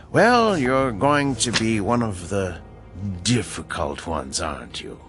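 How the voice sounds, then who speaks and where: A slow, measured, mysterious stranger. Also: male voice, lowish voice, very animated, a bit bright, soft background music, heavily acted. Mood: coaxing, ominous. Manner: measured, formal, politely ominous. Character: mysterious male agent of cosmic balance, unflappable. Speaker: actor. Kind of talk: radio drama acting.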